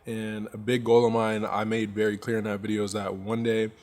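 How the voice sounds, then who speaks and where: A man around twenty, a brand owner, narrating his workday in a relaxed, candid vlog and talking straight to his viewers. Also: fairly low pitch, fairly quick. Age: 20s.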